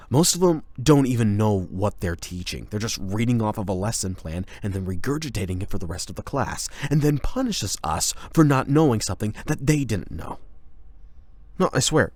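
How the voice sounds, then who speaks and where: A man acts out a rebellious male high school delinquent in an anime-style audio roleplay. The voice sits low and is captured very dry, with no room sound. He talks casually and irreverently, with sardonic, slangy swagger. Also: darkish tone, dry recording. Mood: defiant, dismissive, then exasperated.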